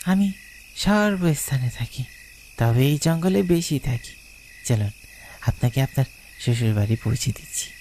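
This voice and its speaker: A man's voice: mid-range voice, lively intonation, a little slow, pauses often, a little mellow, slight background noise. A man playing a mysterious, aloof bullock-cart driver in a cartoon ghost story, speaking in a cryptic, formal way.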